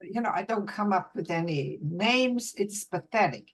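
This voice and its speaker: An older woman in a virtual book club, speaking haltingly and searching for words over a video call. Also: medium pitch, expressive, breathy edge. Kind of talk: casual group discussion.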